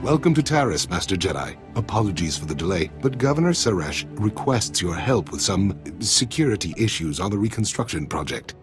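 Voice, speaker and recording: An actor playing a polite, formal government envoy in a video game, careful and official. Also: male voice, on the low side, very animated, fairly quick, hardly any pauses, over music. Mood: courteous.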